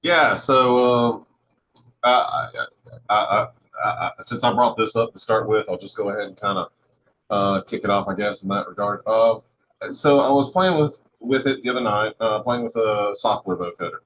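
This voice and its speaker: A man's voice: on the low side, moderate pace, a bit bright. A man chatting casually as a guest panelist, hesitant and repeating words as he goes. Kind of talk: casual chat. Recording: dull lo-fi call with background noise.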